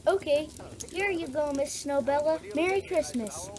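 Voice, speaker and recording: A woman's voice: high-pitched, normal speed, darkish tone, natural voice. A woman playing a polite, wistful little boy in an old-time radio comedy. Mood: polite, cheerful.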